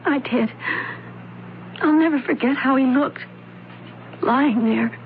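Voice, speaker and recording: An actress playing an earnest, anxious young opera student in an old-time radio mystery, with careful, dramatic diction. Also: female voice, sits high, takes it slowly. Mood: distressed, suspicious. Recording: narrow, tinny old radio recording, hiss.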